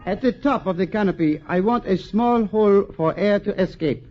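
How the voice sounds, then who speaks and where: Vintage radio drama, male actor as weary balloonist, very high voice, halting, pleading, courteous, muffled old recording. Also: faint music behind, lightly acted. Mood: decided. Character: dizzy, polite French balloonist, weary and protesting. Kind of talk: 1940s radio acting. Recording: dull 1940s radio recording, crowd behind.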